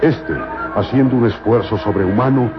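A man's voice: on the low side, normal speed, lightly acted. A man narrating a scripted old-time radio adventure serial in a solemn, formal, dramatic way. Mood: dramatic, suspenseful. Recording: muffled old radio recording, loud music behind.

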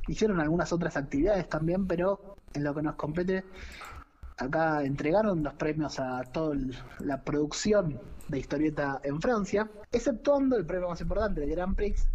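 A man's voice: a little high, darkish tone. A man with an Argentine accent giving conversational commentary as a podcast co-host. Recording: dull, lo-fi voice audio.

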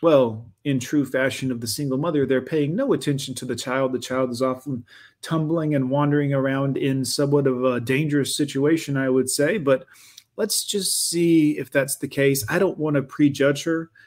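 A man's voice: mid-range voice, fairly even intonation, moderate pace, darkish tone. A man hosting a reaction commentary, talking over a video with mocking, sarcastic asides.

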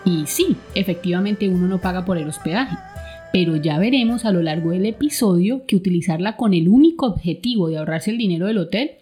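A woman's voice: lowish voice, animated, brisk, mellow, faint music behind. A woman with a Colombian Spanish accent hosting a travel podcast in a partly scripted, conversational way. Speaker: podcast host.

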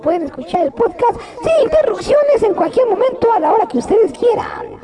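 A Mexican woman with a very high, piercing voice runs through a podcast plug, cracking crude slangy jokes as she goes. A crowd chatters clearly behind her. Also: sing-song, moderate pace. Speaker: podcast host. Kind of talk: casual podcast promo.

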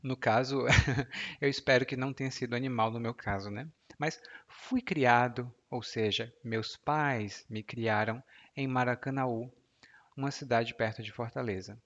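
A man, in his thirties, explains clearly and slowly like a patient teacher and laughs easily. His voice sits at a medium pitch. The recording sounds somewhat dull and muffled. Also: fairly even intonation, fairly bright tone. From Ceará, Brazil. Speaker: Portuguese teacher. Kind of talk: podcast language lesson.

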